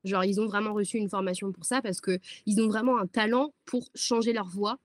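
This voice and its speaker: A woman co-hosting a book podcast from home, chatting in lively slangy French and filling her pauses. Her voice sits at a medium pitch. The sound is dry, with no room echo. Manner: animated, slangy, many fillers. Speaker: podcast co-host. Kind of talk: casual chat. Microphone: remote recording.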